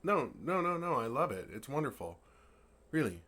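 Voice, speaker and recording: A man's voice: medium pitch, big pitch swings, moderate pace, slightly rough, heavily acted. A man playing a friendly, naive male dragon who talks earnestly and playfully to a human in an ASMR roleplay. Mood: delighted, fond. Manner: earnest, playful, puzzled, soft chuckles. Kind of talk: ASMR roleplay, intimate one-sided dialogue.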